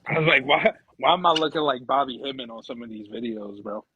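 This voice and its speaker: A man's voice: on the high side, animated, brisk, vocal fry, muffled recording, a little hiss or hum. A man talking in an emphatic, slangy way as a podcast guest, often checking that the listener follows. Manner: emphatic, slangy, keeps checking "you know what I'm saying". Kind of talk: casual chat.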